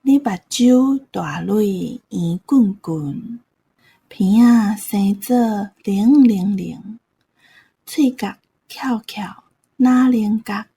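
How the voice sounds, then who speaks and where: A man's voice: sits high, gravelly, darkish tone, husky, voice pushed up, heavily acted. A man voicing a fond, bossy old country grandmother with a Taiwanese accent in an audio drama. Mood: doting. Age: older. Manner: doting, chiding, drawn-out coaxing.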